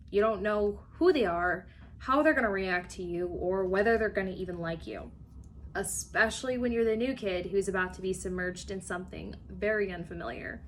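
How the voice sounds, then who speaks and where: A woman's voice: medium pitch, a little hiss or hum. A young woman student giving an earnest personal speech for a class, telling a story with occasional fillers. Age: young adult.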